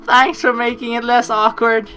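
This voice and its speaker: A woman's voice: a little high, little pitch movement, normal speed. A woman hosting a game playthrough, reading the text aloud and adding jokey, rambling casual commentary. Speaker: let's play host. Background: game music.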